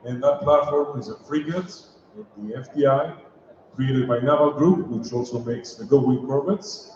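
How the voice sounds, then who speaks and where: A man moderating a conference webinar briskly introduces the next speaker. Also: mid-range voice, background noise. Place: large conference hall. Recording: very echoey hall, dull audio.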